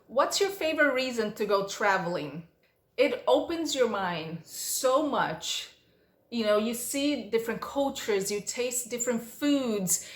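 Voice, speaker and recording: Chatty woman with Portuguese roots, echoey room. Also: on the high side. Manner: chatty storytelling, reads each question aloud then answers. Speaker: vlogger. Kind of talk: casual Q&A vlog.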